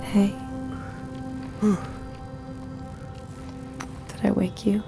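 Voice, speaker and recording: A woman playing a tender, attentive AI companion in an audio drama, speaking in a soft, intimate, soothing way. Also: mid-range voice, flat delivery, drawn-out words, gravelly, over music. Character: tender, attentive female AI companion, softly flirtatious.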